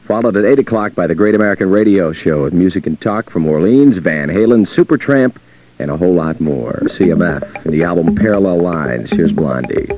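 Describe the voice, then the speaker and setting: A man's voice: low-pitched, lively intonation, fairly quick. A man presenting afternoon music radio as a DJ, announcing songs and shows. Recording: dull lo-fi radio aircheck tape.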